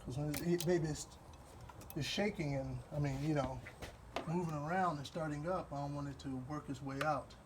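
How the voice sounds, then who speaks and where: A man from Wisconsin with a Midwestern accent, explaining a car repair step by step in a relaxed, rambling way while he works. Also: fairly high pitch, background noise. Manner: relaxed, rambling, with fillers and pauses while working. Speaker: DIY car repair host. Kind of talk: hands-on repair walkthrough.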